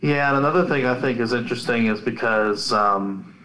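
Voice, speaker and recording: Man, podcast co-host, mid pitch, relaxed, chatty, lots of fillers. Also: a little fast, low-quality recording. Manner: easygoing, agreeable, chatty with frequent fillers. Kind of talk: casual podcast discussion.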